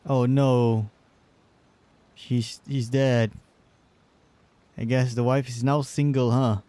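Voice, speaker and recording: A man giving casual, halting gameplay commentary with wry asides, close to the mic. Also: medium pitch, flat delivery, dark tone, no echo. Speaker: game livestreamer.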